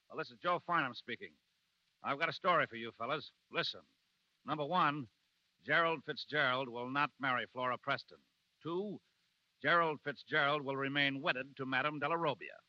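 A man's voice: mid-range voice, moderate pace, pauses often, close to an everyday voice. An actor playing a brash, scheming publicity man with an emphatic, businesslike bark in a 1930s radio drama. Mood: brisk. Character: brash, fast-scheming male publicity agent. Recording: dull lo-fi 1930s radio recording.

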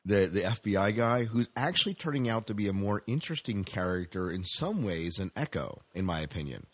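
A man co-hosting a casual podcast chat about science fiction, halting and repeating his phrases as he steers the talk. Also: lowish voice, expressive. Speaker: podcast co-host.

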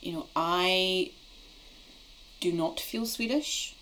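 A woman hosting a podcast talk in a lowish voice, pausing and restarting her phrases as she thinks aloud. A faint hiss sits under the recording. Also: rough recording. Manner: hesitant, restarts phrases, warm affirmations. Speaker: podcast host. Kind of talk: conversational interview.

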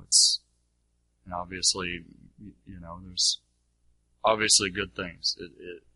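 A man teaching in an informal, halting way as he answers questions and clarifies his points. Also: deep voice, pushed and bright, slightly rough, no echo, rough recording.

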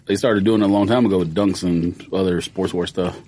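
A man co-hosting a sneaker podcast, chatting casually, laughing, teasing and firing back quick retorts. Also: sits low, fairly even intonation, dark tone, slightly rough. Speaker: podcast co-host. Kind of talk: casual chat.

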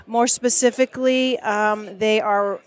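A woman's voice: medium pitch, fairly even intonation, measured pace. A woman co-hosting a podcast from a trade show booth, talking in a chatty, upbeat way full of fillers. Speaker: podcast co-host. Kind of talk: casual chat.

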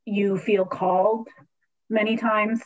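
A woman's voice: mid-range voice, little pitch movement, takes it slowly. Hesitant woman hosting a book talk. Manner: hesitant, many fillers. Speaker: book club host. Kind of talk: online author interview. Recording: dull lo-fi audio.

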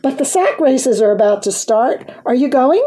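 A woman's voice: sits high, expressive, normal speed, warm tone, husky, pitched above the performer's own voice. A woman voicing a friendly, helpful small-town girl in a children's storybook, polite and matter-of-fact.

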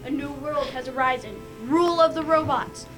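A young woman acts out a high-pitched robot leader in a youth radio play. The delivery is declamatory and commanding, as if addressing an army of machines, with music clearly behind the voice. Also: moderate pace, lightly acted. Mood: triumphant, commanding. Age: teenager or young adult. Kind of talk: youth radio theatre acting.

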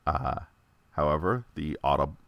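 A man with a very low, deep voice talks in a relaxed, chatty way, filling pauses with "uh" and slipping in small jokes. The sound is dry and close, with almost no room echo. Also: clean tone. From United States. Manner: chatty, many "uh" fillers, lightly joking. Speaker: toy collector presenting a video. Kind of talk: casual informational monologue.

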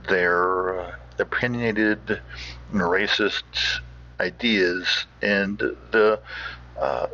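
A man hosting with informal, rambling commentary in a folksy, halting manner. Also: sits low, pushed and bright, husky. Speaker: podcast host. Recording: dull, muffled recording.

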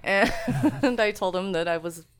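A woman, a band guest, telling her story slowly with giggles in a casual chat. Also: medium pitch, smooth.

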